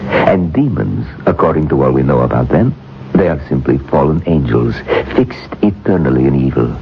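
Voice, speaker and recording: An actor playing a grave male occultist in a 1970s radio drama, speaking in measured, ominous warnings. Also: rumbling low, sing-song, creaky, soft background music, full character voice. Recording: dull 1970s radio recording.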